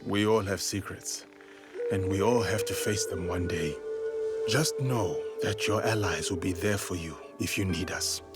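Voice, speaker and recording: An actor playing a calm, loyal samurai ally who speaks in a measured, gently teasing way, over a game score. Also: male voice, deep voice, over loud music. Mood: reassuring. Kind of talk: video game cutscene acting.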